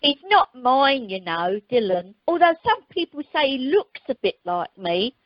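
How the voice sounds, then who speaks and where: A brash, blunt holidaymaking wife , played by a woman, chatting in a high, very animated voice. It is amateur radio comedy, with a dull, dry telephone sound. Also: a little slow, no echo, exaggerated voice. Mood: blithe. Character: brash, earthy, blunt married holidaymaker Sharon.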